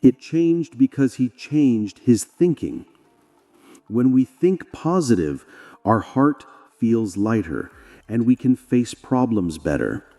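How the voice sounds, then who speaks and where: A wise old woman of a storybook village, as a male narrator plays her. The delivery is gentle and unhurried, with a soft-edged tone that rises and falls freely. It sits in long reverb. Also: medium pitch, very animated, faint music behind, heavily acted. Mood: gentle, reassuring.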